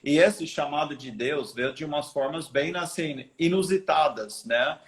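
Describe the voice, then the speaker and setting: A man's voice: on the high side, measured pace. An American man, a missionary guest, telling stories earnestly over a video call, with frequent restarts and a noticeable American accent. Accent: American English. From United States. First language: English. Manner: earnest storytelling with many restarts. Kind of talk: live video interview.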